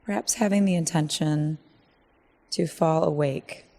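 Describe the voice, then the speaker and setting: Low, mellow woman guiding meditation. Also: creaky. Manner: gentle, measured, with long pauses. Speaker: meditation guide. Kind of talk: guided meditation.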